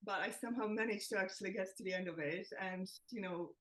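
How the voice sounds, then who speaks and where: A woman's voice: mid-range voice, fast, fairly bright tone, low-quality recording. A woman from the Czech Republic, a nutritionist guest in a podcast interview, chatty and self-deprecating, laughing as she talks. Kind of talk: casual interview chat.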